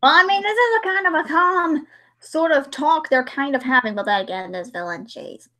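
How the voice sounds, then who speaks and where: Woman livestream host, high voice, casual and rambling, slips into acted-out remarks. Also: expressive, moderate pace, pushed and bright. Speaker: livestream host and hobby voice actress.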